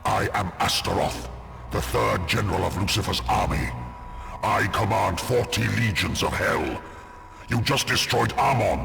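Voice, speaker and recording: Demon general, very gravelly, low, haughty, menacing, pompous, video game villain. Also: male voice, bright, forceful tone, exaggerated voice. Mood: imperious. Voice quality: thunderous, gravelly. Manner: pompous, slow, threatening. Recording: long cavernous reverb, music underneath.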